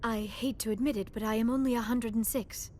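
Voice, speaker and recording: A woman's voice: a little high, fairly even intonation, a little fast, darkish tone, slight background noise, natural voice. A woman voicing an earnest, polite young alien scholar in a video game, speaking in a precise, formal way. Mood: polite, grateful. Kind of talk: video game dialogue acting.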